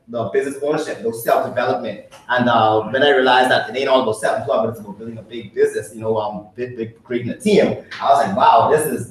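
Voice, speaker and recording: A young Bahamian man tells his story fast and eagerly, laughing between lines. He sits far from the mic in a large room that rings with echo, with a faint hiss underneath. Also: medium pitch. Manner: excited, laughing, quick storytelling. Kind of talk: testimonial talk at a business meeting. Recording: echoey hall from far off.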